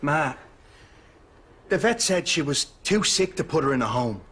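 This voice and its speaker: Earnest, high-pitched Irish son. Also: male voice, sing-song, measured pace, a bit bright, husky, exaggerated voice. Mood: apologetic. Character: earnest, placating grown-up son. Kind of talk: sitcom acting. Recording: noisy sitcom studio.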